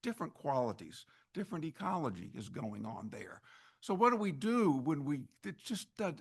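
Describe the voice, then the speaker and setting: A man's voice: mid-range voice, fairly bright tone, breathy edge. A man presenting on forest ecology to a meeting audience in an informal, conversational way, often restarting phrases and adding wry asides. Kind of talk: informal slide lecture to an audience. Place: meeting hall at an annual meeting.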